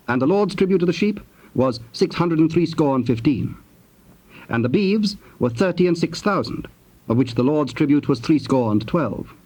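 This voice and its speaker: A man narrating scripture from a script in a formal, measured, declamatory manner. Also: mid-range voice, darkish tone, poor audio.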